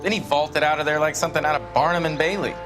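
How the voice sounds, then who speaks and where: Chatty, higher-pitched American beat cop played by a man. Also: fairly even intonation, quick pace, over music, natural voice. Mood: recounting. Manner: colloquial, matter-of-fact storytelling. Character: chatty, wry male patrol cop in 1940s America. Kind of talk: dramatic video game acting, 1940s crime drama.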